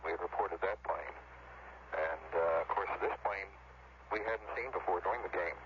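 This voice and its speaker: Low halting man on noisy tape. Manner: halting, hesitant. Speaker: interviewed spokesman in news clip. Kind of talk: unscripted news statement. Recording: noisy, dull, humming phone-like news tape.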